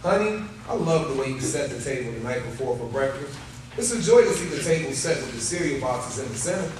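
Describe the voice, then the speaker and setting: Cheerful folksy dad, animated, very echoey male actor. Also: mid-range voice, brisk, noisy background, close to an everyday voice. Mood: doting. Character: cheerful doting folksy 1950s salesman father. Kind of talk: live old-time radio play acting. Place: theater stage before live audience. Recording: echoey live stage, distant mic, loud music.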